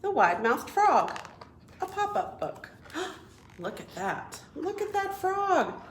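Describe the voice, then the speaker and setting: A woman working as a children's librarian, hosting a read-aloud storytime in an animated, encouraging way with simple phrasing for small children. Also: extremely high pitch, takes it slowly, reverberant, slight background noise.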